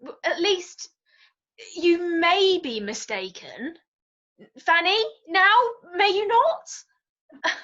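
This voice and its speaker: A woman playing a timid, gentle and earnest young younger sister in a period drama, speaking politely and hesitantly. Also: very high voice, very animated, drawn-out words, pauses often, lo-fi sound, full character voice. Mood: anxious. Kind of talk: stage-style period drama dialogue.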